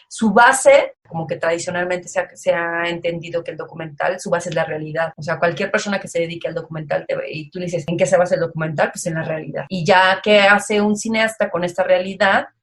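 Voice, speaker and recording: A woman with a Mexican accent, a documentary filmmaker talking casually as an interview guest, with many fillers and restarts. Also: fairly low pitch.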